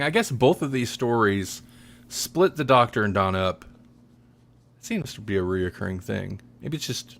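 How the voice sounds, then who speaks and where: A man hosting a podcast, chatting casually about audio dramas in a halting, rambling way. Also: fairly low pitch, a bit bright. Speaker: podcast host.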